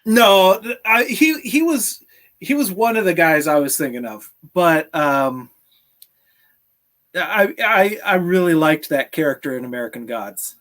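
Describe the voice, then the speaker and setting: A man's voice: sits high, expressive, unhurried, fairly bright tone. A man in his thirties hosting a casual live stream over a video call from home. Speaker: host, game company creator.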